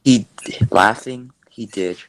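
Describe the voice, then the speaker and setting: A man playing a courteous, curious gentleman captain in a play reading, measured and polite. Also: on the low side, dry recording, rough recording. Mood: interested. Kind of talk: reader's theatre play reading.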